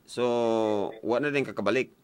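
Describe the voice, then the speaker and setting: A man's voice: mid-range voice, normal speed, no echo. A young man with a Filipino accent hosts a casual radio call-in chat, playful and teasing, on a close studio mic. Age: young adult. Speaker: radio call-in show host. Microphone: studio mic on boom arm, close. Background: music playing.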